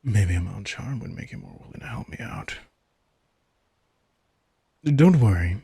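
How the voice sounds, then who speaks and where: A smug vampire king, played by a man in an intimate audio roleplay. His voice is low and breathy-rough, recorded very dry. He talks in a laid-back, informal way, with wry, teasing manipulation. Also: lively intonation, unhurried, mellow, no echo, heavily acted. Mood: coaxing, smug. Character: sardonic, casual young-sounding male vampire king, smug and manipulative.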